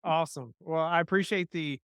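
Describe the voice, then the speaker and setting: A man's voice: fairly high pitch, fairly quick, fairly bright tone, clear, resonant tone, dry recording, clean studio sound. A man hosting a podcast interview who asks long, loose questions and tags them with "right?". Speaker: podcast host.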